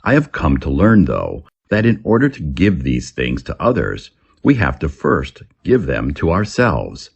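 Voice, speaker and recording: A man reads a scripted English lesson as a teacher, setting words and phrases apart with deliberate enunciation. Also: extremely low pitch, wildly swooping pitch, creaky, slightly rough, highs cut off. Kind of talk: scripted vocabulary lesson narration.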